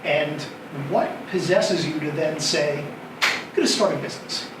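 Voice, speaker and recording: A man's voice: a little high, measured pace. Distant man hosting a live interview. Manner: enthusiastic, hesitant restarts. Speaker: interviewer, event host. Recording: distant, echoey, noisy room.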